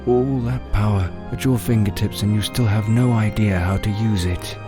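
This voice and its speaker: A man playing a theatrical, taunting villain in an audio drama, with a mocking, drawn-out, mock-courteous manner. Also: fairly low pitch, steady tone, lightly acted. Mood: mocking, smug. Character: taunting, theatrical male villain toying with a princess. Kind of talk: fan audio drama acting. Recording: heavily echoing, loud music underneath. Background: music.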